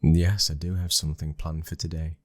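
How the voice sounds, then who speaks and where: A British man playing a loving, dominant young mafia heir in an intimate ASMR boyfriend roleplay, speaking close to the mic in a breathy, husky voice. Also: rumbling low, level tone, normal speed, no room sound. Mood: relaxed, affectionate. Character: smooth-talking, loving, dominant young mafia boss's son. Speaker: audio roleplay voice actor. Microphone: close microphone.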